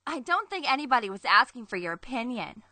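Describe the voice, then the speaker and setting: A woman's voice: fairly high pitch, very animated, fast talker, bright, forceful tone, no room sound. A woman voicing a blunt, haughty, easily bored teenage schoolgirl in an anime fan dub, speaking curtly and emphatically. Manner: curt, brusque, emphatic.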